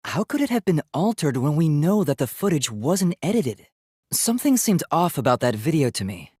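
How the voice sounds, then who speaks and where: K1-B0, an earnest, lively-pitched young robot. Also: male voice, normal speed, breathy edge, no echo, studio quality. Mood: certain, reasoning. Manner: formal, precise, articulate, earnest. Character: polite, earnest, logical young male robot. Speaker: video game character. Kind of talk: English video game voice acting.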